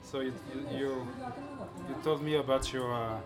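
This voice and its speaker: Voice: medium pitch, steady tone, normal speed. An interviewer in a casual chat who hesitates, repeats words and asks questions. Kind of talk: casual conversation. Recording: loud music behind the talk.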